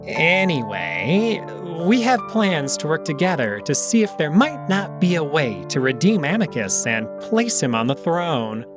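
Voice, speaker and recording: A man's voice: fairly high pitch, sing-song, music in the background. Shrewd jackal schemer played by a man, swooping intonation. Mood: measured, grave. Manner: measured, formal, cautioning. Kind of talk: visual novel character acting.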